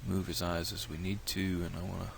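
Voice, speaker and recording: A very low, gravelly male voice, a little nasal from a cold, talking through each step of a software tutorial. He rambles and restarts as he thinks aloud. A faint hiss of noise sits under the desktop mic. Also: little pitch movement, brisk. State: has a cold, slightly nasal. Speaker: tutorial creator.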